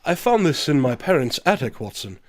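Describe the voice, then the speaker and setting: Guy doing smug fussy detective, radio play, precise and pompous, higher male voice, swoopy pitch. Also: very animated, a little fast, a little hiss or hum. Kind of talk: comic radio drama acting.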